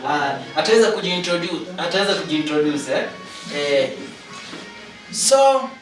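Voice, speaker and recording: A Kenyan man with a Kenyan accent, hosting a casual interview in a chatty, teasing way that repeats phrases. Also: a little high. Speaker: host. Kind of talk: casual interview mixing Swahili and English. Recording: echoey room from far off with music behind.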